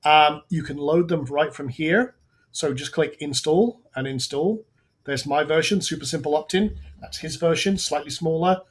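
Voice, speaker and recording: A man with a slightly raised pitch, hosting a live tutorial in a loose, chatty way. His voice is worn and going hoarse, and he often stops to restart a sentence. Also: moderate pace. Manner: casual, talks through on-screen steps with frequent restarts. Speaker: webinar host.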